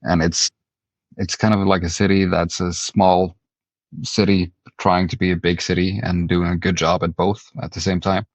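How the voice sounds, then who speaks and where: A man's voice: deep voice, little pitch movement, normal speed, dry recording. A man from Norway, a band member answering interview questions in a rambling way and repeating words as he thinks.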